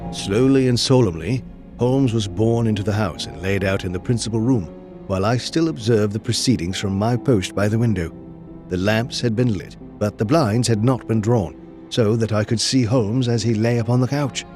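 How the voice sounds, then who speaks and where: An actor playing a loyal gentleman companion who tells the story in an audio drama, measured and earnest. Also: lowish voice, soft background music, lightly acted. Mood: measured narration. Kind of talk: scripted audio drama narration and dialogue.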